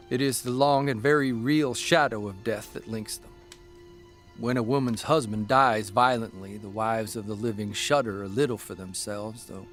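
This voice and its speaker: A man playing a reflective, plainspoken newspaper columnist, giving sombre, measured dramatic narration. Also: mid-range voice, natural voice. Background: faint music.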